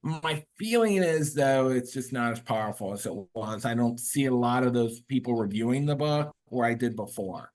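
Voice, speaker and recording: A man's voice: mid-range voice, expressive, moderate pace, hardly any pauses, darkish tone. A man who is a guest author, talking casually on a video call, rambling with many fillers and restarts. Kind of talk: casual webinar talk.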